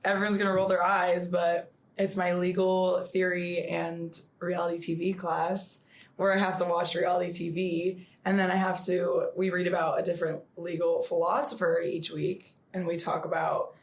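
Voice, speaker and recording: A woman's voice: fairly low pitch, little pitch movement, normal speed, reverberant. A young woman co-hosting a student podcast in casual chat, laughing easily. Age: young adult. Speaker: podcast co-host.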